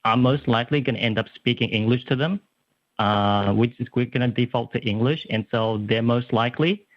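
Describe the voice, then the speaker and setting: A man's voice: medium pitch, brisk, no echo. A young man calling in to a radio talk show, earnest and talking with many restarts and fillers. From Australia. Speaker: caller. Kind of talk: radio talkback call. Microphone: phone. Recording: muffled phone line.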